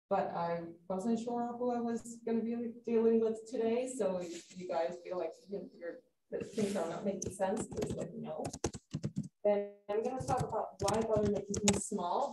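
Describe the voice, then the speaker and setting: Spanish woman giving a science talk. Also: mid-range voice. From Spain. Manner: friendly, engages the audience with questions. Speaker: guest lecturer, postdoctoral researcher. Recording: roomy hall, distant mic, light noise.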